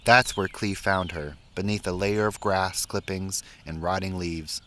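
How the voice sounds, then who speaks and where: A man reading a children's picture book aloud in a measured, expressive storytelling way, pausing between phrases. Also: sits low, slight background noise. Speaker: story reader.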